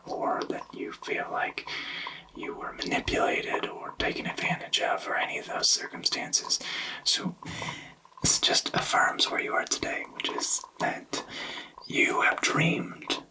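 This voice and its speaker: A man's voice: far from the mic, poor audio. Whispering male tarot reader. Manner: soft, reassuring, explanatory, hesitant pauses. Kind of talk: whispered ASMR tarot reading.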